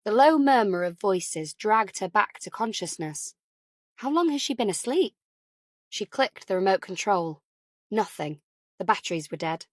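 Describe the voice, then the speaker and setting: A woman's voice: mid-range voice, no echo, studio quality. A woman reading a thriller novel as a scripted audiobook narration.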